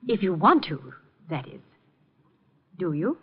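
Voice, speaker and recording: A woman's voice: on the low side, very animated, slow pace, breathy edge, exaggerated voice. A woman playing a guarded, haughty suspect in a 1950s radio drama, clipped, controlled and challenging. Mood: haughty, daring. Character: cool, haughty, guarded woman under suspicion. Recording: muffled vintage 1950 radio recording.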